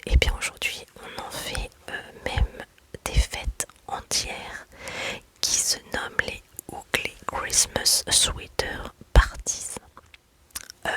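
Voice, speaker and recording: A woman, a mother, whispering softly and hesitantly in a relaxed ASMR chat, pausing often on fillers. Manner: soft, hesitant, many fillers. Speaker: video creator.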